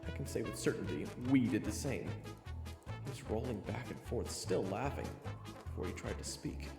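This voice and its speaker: A man's voice: lowish voice, lively intonation, moderate pace, gravelly, music in the background. A man playing a self-deprecating young swordsman who narrates his own fantasy story, nervous and sometimes stammering. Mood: hushed storytelling. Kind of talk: first-person scripted narration and acting.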